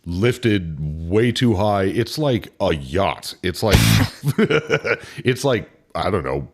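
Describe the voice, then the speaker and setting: A man's voice: deep voice, big pitch swings, drawn-out words, gravelly, slightly rough, faint music behind. A man co-hosting a podcast, telling a workplace story casually and animatedly, with casual swearing. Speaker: podcast co-host, service advisor. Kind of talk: casual chat.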